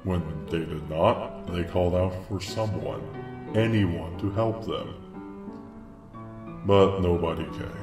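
A man playing a cold, clinical monster scientist in a comic dub, speaking formally and deliberately with hidden weariness. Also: low-pitched. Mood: cold, detached. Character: cold, clinical male mad scientist monster, secretly weary. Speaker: scientist experimenting on his creations. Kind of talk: comic dub dramatic acting. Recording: distant echoey voice under loud music.

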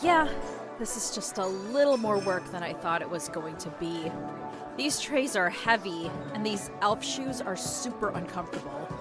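Woman acting anxious widowed mother, reluctant thief, audio drama, medium pitch, music behind. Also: expressive, moderate pace, lightly acted. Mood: worried, weary.

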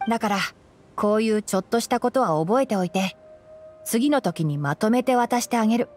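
A woman playing a calm, knowledgeable young male forest ranger in an anime game, explaining things in a composed, gently teasing way. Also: fairly high pitch, a little fast, faint music behind, lightly acted. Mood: gently firm. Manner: explanatory, composed, lightly dry. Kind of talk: Japanese anime game voice acting.

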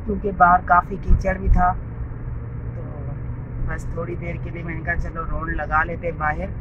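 A woman's voice: lowish voice, flat delivery, moderate pace, dull audio. A woman with a Pakistani Urdu accent, chatting casually to viewers as she films from a car. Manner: chatty, showing viewers around. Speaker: vlogger. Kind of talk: casual vlog narration. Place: inside a car in heavy rain. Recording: loud rain and road noise.

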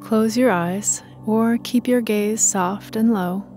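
A woman guiding a meditation in a calm, soothing and measured manner. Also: mid-range voice, faint music behind. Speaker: meditation guide. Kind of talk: guided meditation narration.

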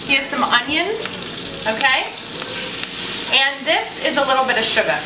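High-voiced woman hosting a kitchen demo. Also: sing-song, a little slow, recorded from a distance. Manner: chatty, explaining steps as she cooks. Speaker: cooking show host. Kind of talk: casual cooking demonstration. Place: small home kitchen, indoors. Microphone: camera mic at a distance. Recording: echoey kitchen, voice from off-mic, stove noise. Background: sizzling pan and stirring.

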